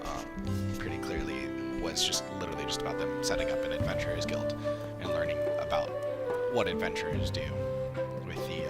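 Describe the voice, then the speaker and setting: A man giving casual live game commentary as a streamer, rambling and thinking aloud between bits of text he reads out. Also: sits low. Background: loud game music.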